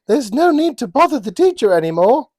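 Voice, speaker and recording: Loud, high-pitched messenger put on by a reader. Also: sing-song, brisk, no room sound, studio quality. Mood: urgent. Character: blunt messengers bringing grave news. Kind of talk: dramatized scripture reading.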